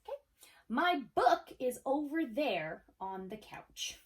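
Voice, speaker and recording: A woman's voice: high-pitched, big pitch swings, takes it slowly. Woman teaching young kids in a video lesson. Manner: encouraging, talks to pupils as if they answer; casual, checks in often with okay. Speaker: third-grade teacher. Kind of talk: recorded video lesson for children.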